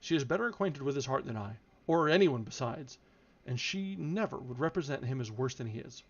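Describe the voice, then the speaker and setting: A man's voice: medium pitch, lively intonation, brisk, slightly rough, dull audio. A man voicing a sensible female servant from a novel, earnest and plain-spoken as she gives advice. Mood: earnest caution.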